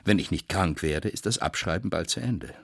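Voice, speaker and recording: An actor playing a weary, bitter novelist, reading in a deliberate, brooding literary radio-play manner with theatrical pauses. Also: male voice, low voice, lively intonation, vocal fry, a bit bright, no echo, studio quality, exaggerated voice. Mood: brooding, resigned.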